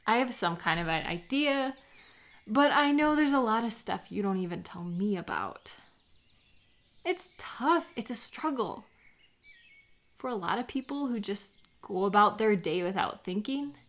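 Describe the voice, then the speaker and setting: A woman acts out a caring best friend in an audio roleplay, gentle and encouraging. She takes her time with every phrase, speaking at a slow, easy pace in a medium-pitched voice. The delivery is sincere, close and conversational. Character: supportive, playful best friend out birdwatching, gentle and affectionate. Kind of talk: lighthearted comfort audio roleplay, intimate one-sided conversation.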